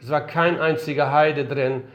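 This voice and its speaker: A man's voice: fairly high pitch, steady tone, normal speed, dull audio. An older man giving an earnest, emphatic lecture-style sermon in a conference room, his voice a little strained from being unwell. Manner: earnest, emphatic, rhetorical questions. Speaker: missionary speaker.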